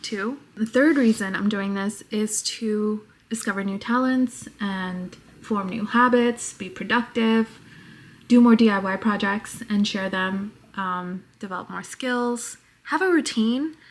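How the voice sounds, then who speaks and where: A woman in her twenties from New York with a very soft voice, talking reflectively to the camera in a casual vlog at home. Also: medium pitch, slow pace. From New York, United States. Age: 20s. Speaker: vlogger.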